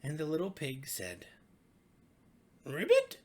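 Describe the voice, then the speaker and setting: A woman reading a children's bedtime story with expressive, dramatic, deliberate phrasing. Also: deep voice, a little hiss or hum. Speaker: storybook reader.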